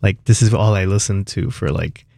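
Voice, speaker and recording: A young adult man from South Florida, a musician guest chatting casually in a radio interview, relaxed and rambling with many fillers. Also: deep voice, moderate pace, creaky, no room sound, studio quality.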